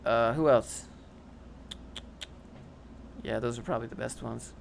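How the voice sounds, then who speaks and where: A man's voice: medium pitch, a little slow, gravelly, a little hiss or hum. A young man casually reading and answering chat on a livestream, dry and sarcastic, often rambling.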